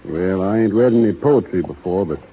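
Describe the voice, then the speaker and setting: An actor playing a laconic young cowboy who talks in a slow, folksy rural drawl, in a 1930s radio drama. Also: male voice, low voice, expressive. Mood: opinionated. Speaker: lead actor in a radio play. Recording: tinny 1930s radio broadcast with faint hiss.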